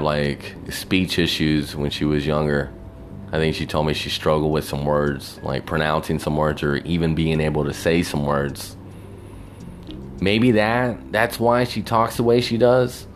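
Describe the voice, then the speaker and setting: A man talking alone in a rambling, reflective way, wistful and full of "you know", with faint music behind him. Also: rumbling low. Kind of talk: rambling personal monologue.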